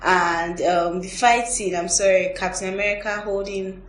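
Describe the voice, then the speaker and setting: A woman's voice: mid-range voice, fairly even intonation, moderate pace. A woman hosting a casual panel review and sharing her own opinions in conversation. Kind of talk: casual film review discussion. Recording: echoey room, distant mic, faint noise.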